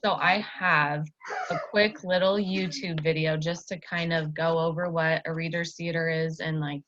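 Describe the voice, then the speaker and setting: A woman's voice: low voice, fairly even intonation, normal speed. A woman teaching a child one-on-one over a video call, chatting casually and encouragingly and echoing back answers. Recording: narrow-band video call sound, faint noise.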